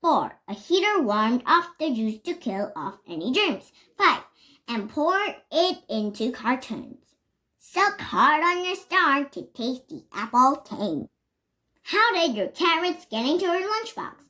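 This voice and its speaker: A child reads a storybook aloud in a high voice that swings up and down in pitch. The delivery is slow and careful, slightly halting, with words given deliberate emphasis. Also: sing-song, bright, forceful tone. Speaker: reader. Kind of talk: reading a children's picture book aloud.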